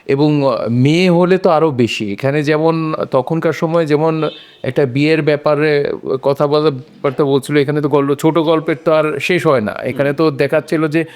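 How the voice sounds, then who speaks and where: Guy with a slightly high voice, explaining his take in a casual panel chat. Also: fairly even intonation, moderate pace, darkish tone. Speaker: discussion panelist. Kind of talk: casual discussion of a short story.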